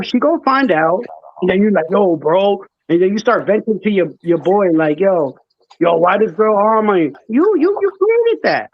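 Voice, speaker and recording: A man's voice: sits high, no room sound, muffled recording. A man chatting casually and emphatically on a panel, using slang and repeating himself to make his point. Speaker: call-in panelist. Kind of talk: casual opinionated panel chat.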